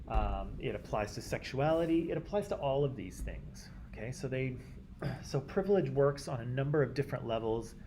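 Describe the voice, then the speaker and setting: A Canadian man teaching a class in a conversational way, often checking in with "right?" and clearing his throat. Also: medium pitch, dark tone, slight background noise. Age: adult. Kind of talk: classroom lecture.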